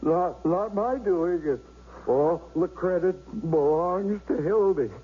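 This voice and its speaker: A halting, grateful recovering husband. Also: male voice, sits high, husky, heavily acted. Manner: halting, stammering, emotional. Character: recovering man, Eddie, regaining use of arm. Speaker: actor in radio drama. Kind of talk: 1970s radio drama acting. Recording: dull vintage radio recording with faint hiss.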